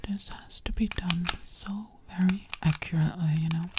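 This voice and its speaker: A woman's voice: on the low side, steady tone, moderate pace, background noise, close to an everyday voice. A young woman voicing a sassy, bossy alien girl in an ASMR roleplay, whispering breathily up close and repeating short phrases insistently. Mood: focused, insistent. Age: young adult. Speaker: ASMR performer. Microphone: close to the mouth.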